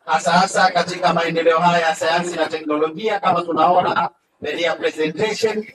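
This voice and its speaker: A man's voice: fairly high pitch, fairly even intonation, brisk, a bit bright. A man hosting a school talent show, announcing acts enthusiastically. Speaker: master of ceremonies. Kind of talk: event hosting. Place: school hall event. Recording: echoey hall, far from mic, faint noise.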